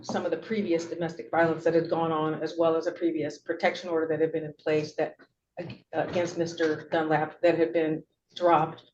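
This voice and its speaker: A woman's voice: sits low, flat delivery, moderate pace, darkish tone. A middle-aged woman giving courtroom testimony, hesitant and wordy, often correcting herself as she goes. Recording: dull, noisy courtroom audio.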